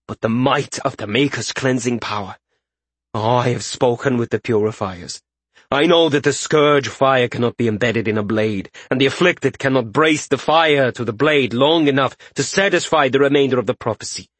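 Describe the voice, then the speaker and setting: A man's voice: mid-range voice, moderate pace, a bit bright, slightly rough, no echo. A man voicing a proud, fanatical middle-aged king in a fantasy audiobook, speaking formally and with imperious emphasis. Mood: stern, fanatical.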